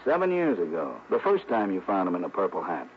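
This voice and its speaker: A muffled vintage radio recording with background hiss presents a brash American male cop. He needles and teases in brisk slang, his mid-pitched voice jumping around freely. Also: wildly swooping pitch, heavily acted. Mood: exasperated. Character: brash, teasing male police lieutenant, old pal. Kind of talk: 1960s radio drama acting. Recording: dull, noisy old radio recording.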